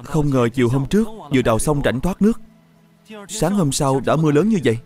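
Guy doing questioning junior monk in a buddhist cartoon, regular male pitch, music behind. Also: animated, brisk, mellow, close to an everyday voice. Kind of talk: animated Buddhist drama dub.

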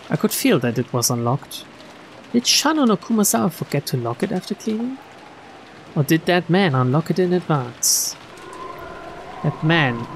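A man's voice: on the high side, slight background noise. A man voicing a proud, anxious, dutiful noblewoman in formal, dramatic inner monologue for a mystery visual novel.

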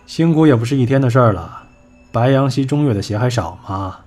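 A man plays an exasperated entertainment boss in an audio drama. He talks bluntly and scoldingly in a husky voice of middling pitch, a schemer with a sharp tongue. Music sits faintly underneath. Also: expressive, brisk, lightly acted. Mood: grumbling. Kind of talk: Chinese audio drama acting.

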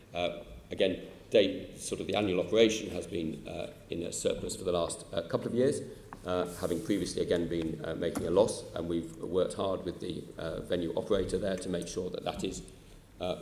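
Low-voiced older British man, halting testimony. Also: vocal fry, a little mellow, a little hiss or hum. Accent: British English. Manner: measured, halting, many filler sounds. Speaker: chief executive answering questions. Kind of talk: formal committee testimony. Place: large council chamber. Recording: echoey hall with faint ambience.

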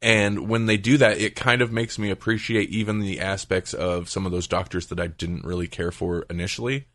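A man's voice: deep voice, flat delivery, fairly quick, a bit bright, no echo. A man hosting a podcast, chatting casually and feeling out his words as he goes. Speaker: podcast host.

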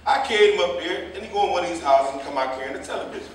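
A man's voice: high-pitched, very fast, close to an everyday voice. A man playing a chatty, indignant, meddlesome cab driver who gossips at length in a staged play reading. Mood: gossipy, indignant. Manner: chatty, indignant, long-winded storytelling. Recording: echoey stage, distant mic, faint noise.

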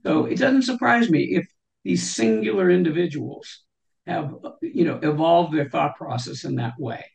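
A woman's voice: low-pitched, normal speed, gravelly, darkish tone, poor audio. An older woman, a guest on an interview show, speaks from home over a video call, with many restarts and "you know" fillers. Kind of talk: interview conversation. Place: home room with shelves.

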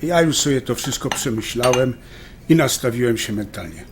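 Actor as stubborn, loving husband: natural mid-pitched delivery, light restaurant clatter behind. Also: male voice, animated, normal speed, background noise, natural voice. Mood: stubborn, decided. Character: stubborn, affectionate husband, Polish emigrant. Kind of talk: naturalistic audio drama acting. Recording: faint clinking restaurant background. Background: cutlery and dishes clinking.

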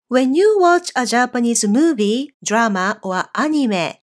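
A woman teaching a Japanese course online, explaining clearly and slowing down on example phrases. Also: a little high. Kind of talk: scripted online lesson.

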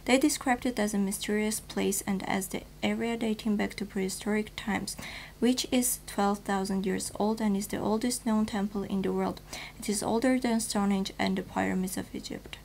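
A woman's voice: medium pitch, fairly even intonation, normal speed, vocal fry, darkish tone, slight background noise, rough recording. Young woman reading the news formally. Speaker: news anchor. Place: TV news studio, virtual set.

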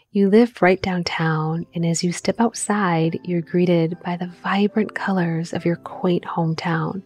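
A woman narrating a scripted guided visualization in a gentle, measured manner, with deliberate pauses. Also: fairly low pitch. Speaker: meditation guide, mindset coach. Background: background music.

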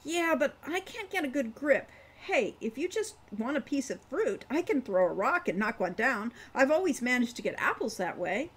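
A woman voicing an excitable, playful twelve-year-old girl in an audiobook, with a gleeful, exclaiming manner. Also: high-pitched, normal speed, hardly any pauses, breathy edge, pitched above the performer's own voice, full character voice. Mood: confident, eager.

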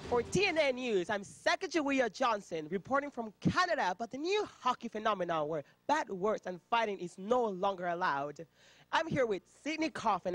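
A young woman playing a deadpan spoof news anchor. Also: medium pitch. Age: young adult. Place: student TV studio set.

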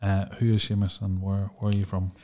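A man's voice: low-pitched, completely flat delivery, fairly quick, warm tone. A man co-hosting a podcast, asking questions in a chatty way. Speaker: podcast co-host. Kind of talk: casual interview chat. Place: podcast studio.

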